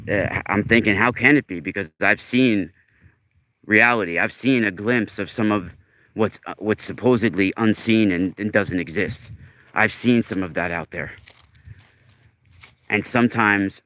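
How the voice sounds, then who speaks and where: A man's voice: lowish voice, bright, forceful tone, no echo. A man in his forties hosting a casual livestream monologue, talking in an earnest, emphatic, rambling way. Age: 40s. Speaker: livestream host.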